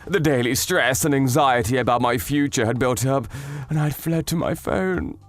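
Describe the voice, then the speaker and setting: A man voicing a loud, selfish male schoolteacher who is hooked on his phone, shouting and whining in a manga dub. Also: mid-range voice, moderate pace, faint music behind, lightly acted. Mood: remorseful.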